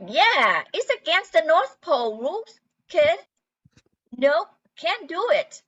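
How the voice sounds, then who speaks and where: A woman's voice: very high-pitched, big pitch swings, slow pace, fairly bright tone, heavily acted. A woman playing a pushy elf henchman in an amateur radio play, in slightly dull sound. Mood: pushy, dismissive.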